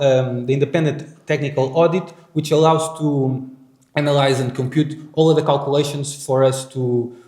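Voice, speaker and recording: A man from Portugal with a Portuguese accent, giving a technical conference talk in halting English with many fillers and restarts. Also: medium pitch, darkish tone, reverberant. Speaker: conference presenter.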